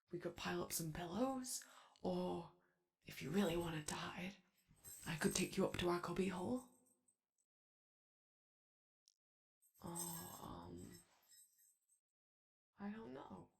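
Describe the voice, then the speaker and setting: A woman voicing an anxious, eager and gentle robot daycare attendant in an ASMR roleplay, speaking in a hesitant, rambling way. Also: on the low side, vocal fry, exaggerated voice. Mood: hesitant, caring. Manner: hesitant, rambling, halting speech. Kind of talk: improvised-sounding ASMR character roleplay.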